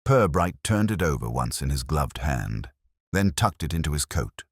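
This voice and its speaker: Very deep man narrating a mystery. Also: sing-song, moderate pace, slightly rough, dry recording, studio quality. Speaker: narrator reading a mystery story. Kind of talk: scripted narration of a genteel comic mystery.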